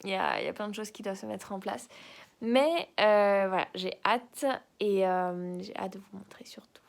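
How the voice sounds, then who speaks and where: A woman's voice: mid-range voice, steady tone, unhurried, vocal fry. A young woman, a doctoral student speaking French as a second language, talking casually in a video diary from a hotel room, hesitant and searching for words with light laughs. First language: not French.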